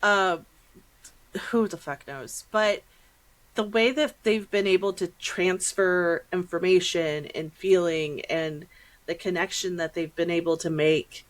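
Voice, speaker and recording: A woman's voice: on the low side, fairly even intonation, measured pace, low-quality recording. American woman podcast host, middle aged, takes her time, slangy, acts out little reactions. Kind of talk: casual podcast chat.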